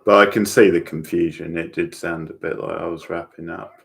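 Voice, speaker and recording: A man's voice: low-pitched, fairly even intonation, brisk, gravelly, a bit bright. A man hosting a livestream watchalong, giving casual commentary and chatting with viewers. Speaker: livestream host.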